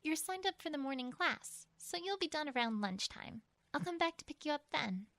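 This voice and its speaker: A woman voicing a caring, sensible young mare in an acted audiobook, reassuring and gently instructive. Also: high voice, animated, fairly quick, no room sound. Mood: patient, firm. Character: caring, sensible young female pony guardian.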